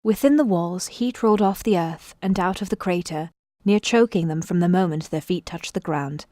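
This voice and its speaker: A woman's voice: on the low side, moderate pace, warm tone, no echo, clean studio sound, natural voice. A woman narrating an epic fantasy audio drama as a solemn storyteller, with scripted dramatic delivery.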